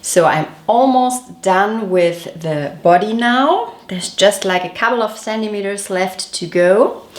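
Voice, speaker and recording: A woman's voice: medium pitch, expressive, measured pace. A woman hosting a knitting podcast, chatty and detailed, her voice a little off as she recovers from a cold. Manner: chatty, detailed, gently self-correcting. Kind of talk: casual show-and-tell podcast. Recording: echoey room.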